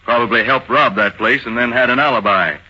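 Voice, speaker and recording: An actor playing a stern, indignant police chief, gruff and emphatic, in an old-time radio crime drama. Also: male voice, medium pitch, fairly quick, pushed and bright. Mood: grim. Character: stern, indignant big-city police chief, adult man. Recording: muffled, noisy vintage radio recording.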